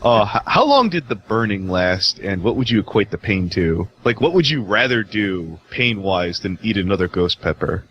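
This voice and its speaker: A man's voice: fairly low pitch, big pitch swings, normal speed, hardly any pauses, fairly bright tone, lo-fi sound, slight background noise. A man hosting a casual, joking interview over a voice call, putting questions to a guest. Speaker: interviewer, show host.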